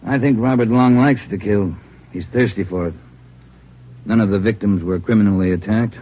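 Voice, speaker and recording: An actor playing a calm police lab chief. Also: male voice, lowish voice, moderate pace, natural voice. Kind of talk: 1950s radio drama acting. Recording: dull 1950s radio broadcast.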